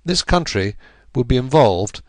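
A man reading aloud, voicing an old, stern prime minister who speaks gravely and with measured weight. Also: mid-range voice, sing-song, a little mellow, no echo, pitched above the performer's own voice.

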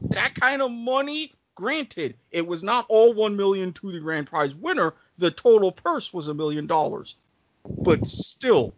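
A man speaking as a podcast panelist in a casual debate, with a deliberate, emphatic and halting delivery. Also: high voice, fairly bright tone, muffled recording.

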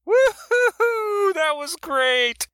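Audiobook figure: man putting on a raspy, bossy old woman vendor, pitched well up, teasing. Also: big pitch swings, drawn-out words, no echo, studio quality, heavily acted. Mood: whooping. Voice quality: raspy, loud. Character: raspy brash older woman, dock hot dog vendor. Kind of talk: audiobook character voice.

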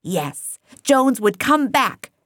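Woman voicing a wheedling, animated pig orator. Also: fairly high pitch, very slow, no room sound, pitched above the performer's own voice, heavily acted. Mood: pleading, urgent. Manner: oratorical, pleading, emphatic. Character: wheedling male pig orator, slick propagandist. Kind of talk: audiobook character voice.

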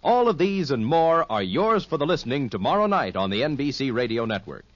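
A dry, muffled vintage broadcast of an American man announcing. His voice is highish and rises and falls freely. The delivery is formal, polished network radio continuity. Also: very animated, brisk, dry recording.

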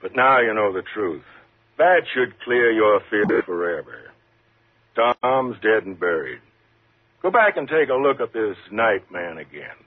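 An actor playing a calm, measured, patronizing prison warden in a 1950s radio thriller. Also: male voice, fairly low pitch, breathy edge, exaggerated voice. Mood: reassuring. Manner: measured, reassuring, condescending. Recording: muffled, lo-fi 1950s radio recording.

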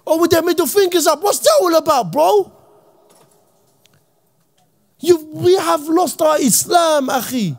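A man from Iraq, giving an impassioned, slang-heavy talk to young people as an Islamic speaker and often shouting. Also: very high-pitched, a little slow, pauses often. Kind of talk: impassioned motivational talk to youth.

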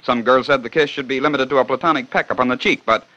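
A man narrating a scripted radio program with brisk, wry storytelling. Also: mid-range voice, pushed and bright. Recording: dull 1940 radio recording.

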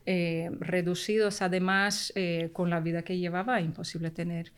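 Woman, low voice, chatty guest. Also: level tone, normal speed, clean tone. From Brazil. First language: Portuguese. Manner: warm, laughs often, trailing restarts. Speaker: guest soprano. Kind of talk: casual interview chat.

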